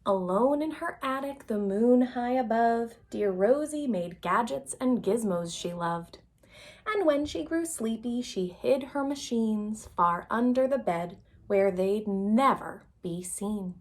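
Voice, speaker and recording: A woman's voice: on the high side, lively intonation, takes it slowly, a little mellow. A woman reading a children's picture book aloud as a storyteller, expressively and with dramatic emphasis.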